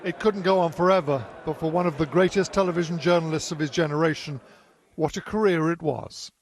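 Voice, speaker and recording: A man's voice: a little high, steady tone, brisk. A male news correspondent narrating a scripted television report.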